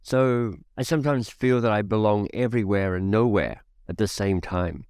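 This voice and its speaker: Middle-aged man, podcast host, low voice, dry studio sound, measured narration. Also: a little mellow, smooth, dry recording. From Australia.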